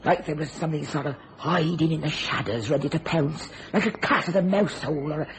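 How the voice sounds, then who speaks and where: A woman's voice: low-pitched, a little fast, slight background noise, natural voice. A woman playing a chatty, superstitious, kindly English charwoman in a radio thriller, folksy and ungrammatical, with colourful turns of phrase. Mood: ominous.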